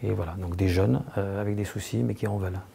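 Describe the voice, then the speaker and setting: A man's voice: low-pitched, steady tone, fast, gravelly, mellow, slight background noise. A man speaking in a documentary interview about refugee trainees, hesitant and restarting his phrases. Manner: hesitant, restarts often, plain speech.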